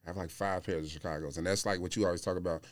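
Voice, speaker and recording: A man's voice: deep voice, steady tone, rapid-fire, no room sound. A man talking casually and anecdotally on a podcast, with everyday slang. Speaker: podcast guest. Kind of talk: casual conversational chat.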